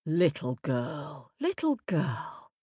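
A woman's voice: low voice, wildly swooping pitch, mellow, slightly rough, no echo, studio quality, pitched below the performer's own voice, heavily acted. A woman voicing a mysterious birch tree in a storybook, chanting rhymes in a whispery voice. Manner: slow rhyming chant.

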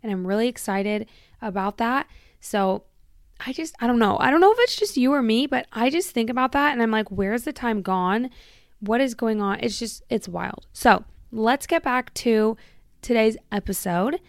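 A woman chats on her solo podcast in a smooth, clear voice recorded close and dry. Her talk is candid and rambling, full of "like" and restarts. She sounds a little tired while getting over a long illness. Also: on the high side, expressive, moderate pace, dry recording. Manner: chatty and candid, heavy use of "like", self-interrupting. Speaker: podcast host. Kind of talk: casual solo podcast chat.

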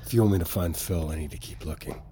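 A man's voice: sits low, fast, very noisy, natural voice. An actor playing an earnest male investigator and caring father in an audio drama, plain-spoken and hesitant but persistent. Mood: insistent, uneasy. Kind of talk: naturalistic audio drama acting.

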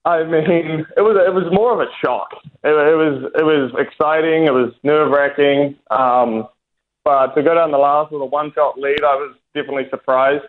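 A man's voice: a little high, fairly even intonation, moderate pace. A young man from New Zealand with a New Zealand accent, a golfer answering interview questions casually, with many fillers and restarts. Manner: hesitant, many fillers and restarts, laughs easily. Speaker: professional golfer, guest. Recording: tinny phone line.

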